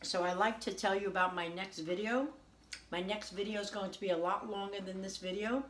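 A woman's voice: medium pitch, fairly even intonation, a little fast, a bit bright, a little hiss or hum. A woman in her forties talks through a recipe in her own kitchen, chatty and homey, recorded on a counter camera. Faint clatter of dishes and utensils sits behind her voice. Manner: chatty, homey, tasting and praising the food as she goes. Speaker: home cooking show host. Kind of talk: casual cooking demonstration. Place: home kitchen, indoors. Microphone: camera on the counter at arm's length.

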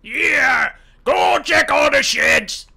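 A man playing a bossy, impatient small-town Southern boss in comic game roleplay, barking orders in a raspy squawk. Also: very high voice, extremely slow, pushed and bright, raised voice, full character voice. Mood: exasperated, demanding.

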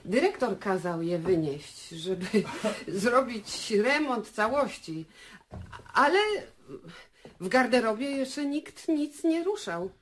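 A woman's voice: on the high side, animated, slow pace, slight background noise. An actress playing an obliging, slightly hesitant female theatre worker, explaining politely in a radio drama.

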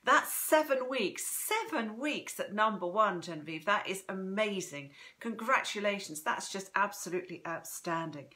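A woman's voice: mid-range voice, lively intonation, normal speed, hardly any pauses, sharp tone. Chatty woman with a slightly throaty voice. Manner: chatty and effusive, greeting viewers by name. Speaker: author hosting a live book reading. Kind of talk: casual livestream chat. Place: home room, indoors. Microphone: phone propped in front of her.

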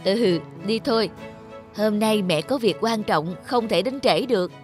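A woman with a northern Vietnamese accent playing an anxious, strict but loving mother in an animated moral tale, earnest and expressive. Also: mid-range voice, measured pace, faint music behind, close to an everyday voice. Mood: hurried.

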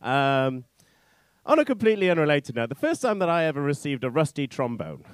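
Rambling male stand-up with an animated delivery. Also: a little high, clear, resonant tone, no echo. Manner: rambling, halting, sweary. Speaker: stand-up comedian. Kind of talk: stand-up comedy set, informal crowd banter. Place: on stage in a small indoor comedy club. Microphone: handheld stage microphone.

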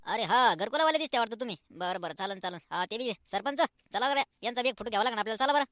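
A man playing an officious village clerk in a comic cartoon, brisk and instructing. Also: sits high, animated, pushed and bright, no echo, studio quality. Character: officious village clerk collecting taxes and explaining rules.

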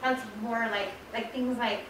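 An American woman speaking informally and haltingly in a meeting discussion, often saying "like". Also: fairly high pitch, fairly even intonation. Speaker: meeting participant. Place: indoor public board meeting room. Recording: very echoey room, distant, noisy.

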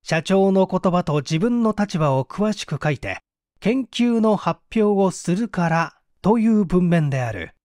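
A man's voice: high voice, animated, measured pace, a little mellow, no echo, clean studio sound. A man narrating an audiobook in a dramatic storytelling style.

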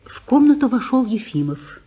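Woman narrator, mellow tone, measured, lively pitch, 1950s radio play. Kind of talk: reading stage directions in a radio play.

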